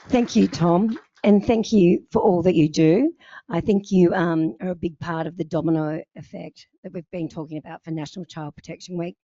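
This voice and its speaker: A woman hosts a launch event, thanking and introducing speakers with many ums. Her voice is a little low and dry, and the audio is slightly dull. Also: fairly even intonation, moderate pace, mellow, no room sound, some chatter behind. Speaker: host.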